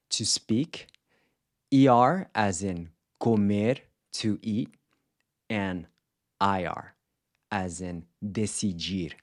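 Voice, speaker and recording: A man with a fairly low voice narrates a scripted language lesson. He explains points in a clear, instructional way and pronounces example words carefully, like a teacher presenting a video series. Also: darkish tone.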